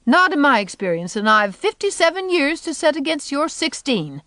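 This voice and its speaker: A woman's voice: medium pitch, animated, moderate pace, dry recording, studio quality, pitched above the performer's own voice, lightly acted. A woman voicing a sour, gloomy spinster in her fifties, scornful and blunt, with folksy rural phrasing, in an audiobook. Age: 50s. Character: sour, contemptuous, gloom-loving 57-year-old spinster. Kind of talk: audiobook character voice.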